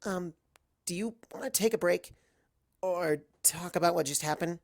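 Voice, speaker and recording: A man's voice: high-pitched, normal speed, vocal fry, voice pushed up. A man voicing an anxious, fussy, bookish pony with a questioning manner in a story reading. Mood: hesitant.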